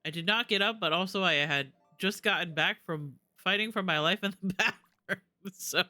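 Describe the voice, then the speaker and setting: A man's voice: high-pitched, normal speed, pushed and bright, no room sound, faint music behind. A man chatting casually and informally as he live-streams a video game, rambling and swearing now and then. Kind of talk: casual live-stream chat while gaming.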